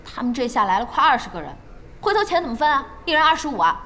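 Audio drama heroine: hot-headed young gamer, high voice, animated swings, outspoken. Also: female voice, heavily acted. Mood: surprised, gossipy. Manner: outspoken, quick to exclaim. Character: feisty, hot-tempered young female gamer and boss.

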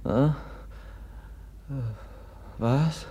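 An actor playing a gentle, naive young prince in a 1950s radio drama, speaking in a hesitant, mild way. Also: male voice, mid-range voice, extremely slow, husky.

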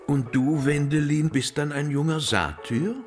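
An actor playing an indulgent, worldly antiques dealer in a radio play, effusive, drawling and theatrical. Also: male voice, a little high, a little slow, soft background music, natural voice. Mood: persuasive. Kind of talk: German radio play acting.

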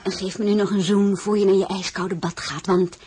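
An actress playing a modest but stubborn young crown princess in an audio drama, halting when flustered. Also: female voice, medium pitch, little pitch movement, darkish tone, a little hiss or hum, natural voice. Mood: reluctant, tender. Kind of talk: Dutch audio drama acting with narration.

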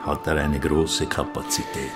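A man's voice: a bass voice, little pitch movement, measured pace. An actor playing a benevolent, approving Swiss businessman in a radio play. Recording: studio radio play with music underneath.

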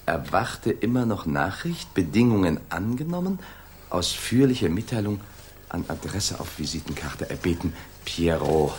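An actor playing a loyal, earnest and slightly doubtful detective's companion in a 1960s German radio play. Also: male voice, deep voice, big pitch swings, unhurried, a little mellow. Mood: attentive, intrigued. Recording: noisy 1960s radio drama recording.

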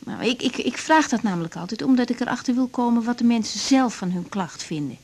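An actress playing an idealistic young doctor in a radio drama, speaking candidly with reflective hesitations. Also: female voice, a little high, animated, brisk, a little mellow. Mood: pensive. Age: young adult. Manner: hesitant, reflective, self-searching. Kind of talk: naturalistic radio drama dialogue.

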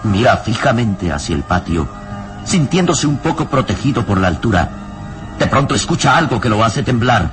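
An actor playing the solemn, suspenseful narrator of a horror radio serial, reading in a dramatic scripted style. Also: male voice, on the low side, very animated, moderate pace, over music. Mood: hushed suspense.

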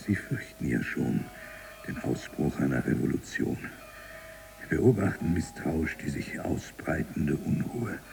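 An actor playing an embittered imprisoned libertine writer in a radio drama monologue, gravelly and breathy at times, brooding and deliberate in delivery. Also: male voice, extremely low pitch, sing-song, darkish tone, soft background music, full character voice. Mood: brooding, defiant.

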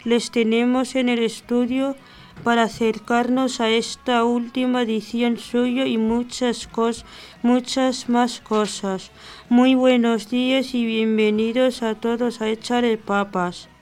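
A woman hosting a radio show, introducing a band with measured, read delivery. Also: fairly high pitch, flat delivery, darkish tone. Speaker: radio host.

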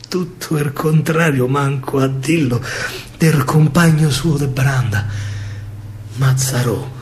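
A man with a Roman accent performing a theatrical verse monologue in dialect, expressive, dramatic and colloquial. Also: medium pitch, slow pace, pauses often, slight background noise. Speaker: stage actor and storyteller.

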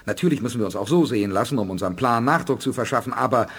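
Casting: assured space commander, husky mid-pitched voice, calculating and explanatory, audio drama. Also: male voice, expressive, racing. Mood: determined. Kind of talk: German science fiction radio drama acting.

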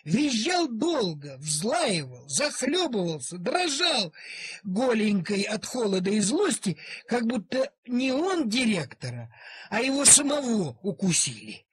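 Very husky, high-pitched male storyteller. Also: sing-song. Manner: theatrical, drawn-out key words. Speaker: narrator. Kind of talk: expressive scripted story narration.

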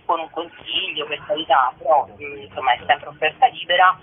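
A woman's voice: sits low, brisk, noisy background. A woman being interviewed on radio, explaining casually and discursively, often qualifying what she says as she goes. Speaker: guest, member of a theatre collective. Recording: muffled thin phone-like radio sound.

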